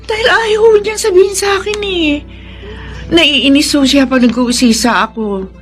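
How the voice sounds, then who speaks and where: A woman's voice: high-pitched, normal speed, faint music behind, natural voice. A woman playing a troubled, suspicious wife and mother in a radio drama, with a Filipino accent. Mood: anxious.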